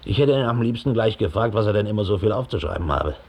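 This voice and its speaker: A man's voice: lowish voice, animated, fast talker, vocal fry, darkish tone, breathy edge, full character voice. An actor playing a big, slovenly, resentful middle-aged Nazi operative who gives his account with contempt and self-importance in a radio play. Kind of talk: radio drama monologue, police statement. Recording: 1960 studio radio play.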